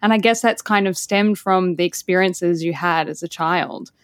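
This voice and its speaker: A woman's voice: lowish voice, normal speed, clear, resonant tone, no echo, studio quality. Chatty woman hosting a podcast. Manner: friendly, chatty, laughs easily. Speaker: podcast host. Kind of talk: casual interview. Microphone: video call.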